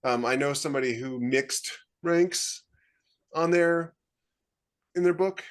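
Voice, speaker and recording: A man's voice: a little high, takes it slowly. A middle-aged man, a podcast co-host and author, chatting casually on a video call from home with loose, trailing asides. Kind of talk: casual chat. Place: plain small room at home.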